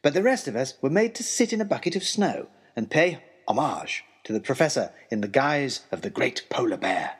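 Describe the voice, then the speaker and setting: Man playing an animated, earnest gentleman adventurer. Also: mid-range voice, normal speed, few pauses, slightly rough. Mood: amused, excited. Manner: expressive, earnest, wryly self-deprecating, genteel. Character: eager, long-suffering young gentleman adventurer, lovestruck letter writer. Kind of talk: dramatised letter reading, comic period audio drama.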